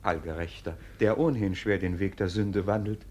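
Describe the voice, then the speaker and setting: An actor playing a shrewd court clerk in a 1950s radio play, probing with arch mock concern in a husky voice. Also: male voice, low voice, fairly even intonation, moderate pace, darkish tone. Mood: needling.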